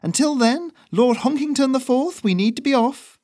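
A man's voice: lively intonation, moderate pace, darkish tone. A man voicing a cheeky young male courier who rides a messenger goose, talking in a breezy, teasing way. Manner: breezy, teasing, high-spirited.

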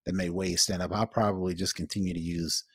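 A man's voice: deep voice, level tone, fast talker, slightly rough, dry recording, studio quality. A man co-hosting a tech podcast in casual chat, explaining things informally. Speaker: podcast co-host.

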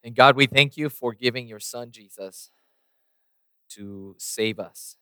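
A man's voice: medium pitch, slow pace, bright, forceful tone, clear, resonant tone, dry recording. A man preaching a sermon and praying, losing his voice a little, with many fillers and restarts. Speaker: pastor. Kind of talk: sermon with prayer.

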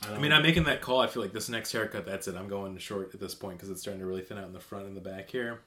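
A man in his late thirties hosts a podcast in a casual, chatty style. His voice is on the low side and clearly bright and forward, with a self-deprecating, joking manner. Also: quick pace. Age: late 30s. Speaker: podcast host. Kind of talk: casual chat and show intro.

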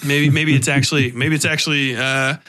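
A man's voice: medium pitch, fairly even intonation, fairly quick, pushed and bright. An American man hosting a podcast interview in casual chat, riffing comically and swearing freely.

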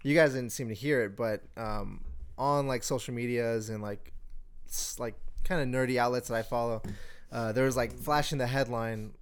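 A man's voice: mid-range voice, normal speed, clean tone. A young man co-hosting a podcast, explaining things informally in a hesitant, filler-heavy way. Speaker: podcast co-host. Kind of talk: casual chat, informal explaining.

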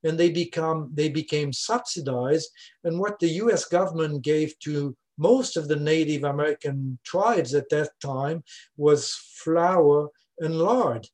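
An older man from Switzerland with a Swiss French accent, a scientist explaining his research in an interview, with many "uh" pauses. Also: a little high, darkish tone. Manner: explanatory, frequent "uh" fillers. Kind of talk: conversational interview.

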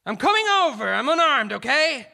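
A man's voice: high voice, very animated, drawn-out words, pushed and bright, slightly rough, pitched above the performer's own voice, heavily acted. A man playing a weary, earnest police negotiator in a comic short story, pleading and calling out across a distance. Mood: urgent shouting.

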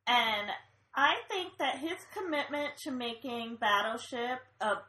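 A young woman chatting casually about a movie, making wry, deadpan jokes. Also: on the high side, a little slow. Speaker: co-host of a movie chat. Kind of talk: casual chat. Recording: echoey room.

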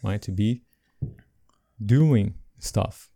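A man with English as a second language, co-hosting a podcast in casual chat, animated and laughing between points. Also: on the low side, very slow, gravelly, husky. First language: not English. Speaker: podcast co-host.

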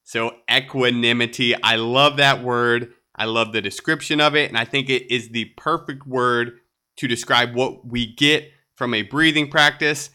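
A man's voice: medium pitch, fairly even intonation, moderate pace, sharp tone, clear, resonant tone. A man hosting a solo podcast, sounding nasal and congested, upbeat and chatty with light laughs. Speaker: podcast host.

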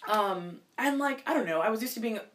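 A woman's voice: on the high side, animated, fairly bright tone, slight background noise. A woman in her twenties from Minnesota, a college student answering interview questions casually, often restarting her sentences. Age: 20s. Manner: fast, with many restarts and filler likes.

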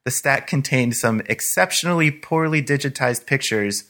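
A man co-hosting a conversational talk, explaining things in a measured, informative way. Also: medium pitch, a bit bright. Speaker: podcast co-host. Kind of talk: conversational retro computing commentary.

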